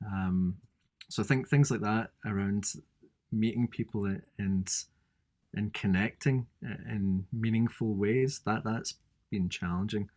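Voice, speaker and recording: A man, a design researcher, giving a thoughtful, halting answer on a remote panel call, often restarting his sentences. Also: on the low side, darkish tone.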